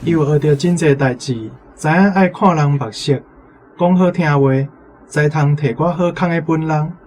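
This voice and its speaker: A man's voice: a little high, fairly even intonation, moderate pace, a little mellow. Taiwanese male teacher explaining over music. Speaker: language teacher. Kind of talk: explanatory commentary, off the cuff. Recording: music playing behind the voice. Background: instrumental music.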